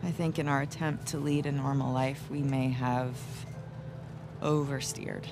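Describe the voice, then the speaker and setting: A gravelly, assured American adventurer wife. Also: female voice, extremely low pitch, flat delivery, unhurried, noisy background. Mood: earnest, hopeful. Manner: teasing, assured, conversational. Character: confident, warm, adventurous middle-aged wife and journalist. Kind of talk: naturalistic video game cutscene acting. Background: music.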